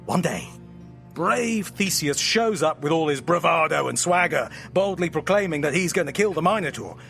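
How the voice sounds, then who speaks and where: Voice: medium pitch, expressive, moderate pace, vocal fry, fairly bright tone, soft background music. An actor in an audio drama playing a playful, theatrical storyteller who narrates with grand melodrama and teasing asides. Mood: theatrical, melodramatic. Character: playful, theatrical storyteller friend spinning a myth at home.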